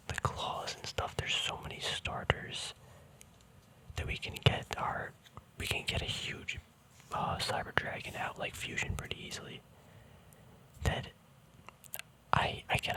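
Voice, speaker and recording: Whispering man, relaxed ASMR ramble. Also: rough recording. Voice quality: breathy, unvoiced whisper. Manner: relaxed, tentative, often correcting himself. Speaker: host of a hobby card-game ASMR video.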